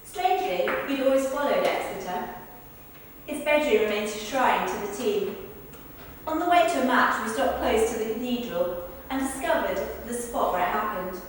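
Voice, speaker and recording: Woman acting earnest storyteller, stage monologue, high-pitched, distant in a reverberant hall. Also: animated, normal speed, close to an everyday voice. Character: people recounting a football club's celebrity visit. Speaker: performer. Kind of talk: verbatim theatre monologues read in rehearsal. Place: large reverberant rehearsal room. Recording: echoey hall, distant mic, faint noise.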